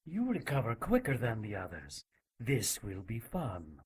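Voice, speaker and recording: A man's voice: lowish voice, wildly swooping pitch, a little slow, breathy edge, heavily acted. An actor playing a cruel, zealous religious inquisitor in an audio drama, menacing and mock-polite as he coldly gloats. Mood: gloating.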